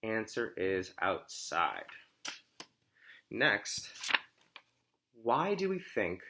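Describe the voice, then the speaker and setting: A man reading a story aloud as a teacher, phrasing it deliberately and simply for children and pausing to ask comprehension questions. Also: fairly low pitch, sing-song, background noise.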